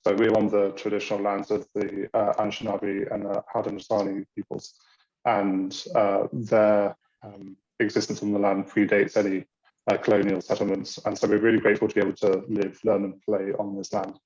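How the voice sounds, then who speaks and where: A British man walks new students through an online orientation, hesitating often with ums and uhs. His voice sits low and stays fairly level. The video call audio sounds dull and lo-fi. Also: monotone, moderate pace, darkish tone. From Britain. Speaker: university international programs staff.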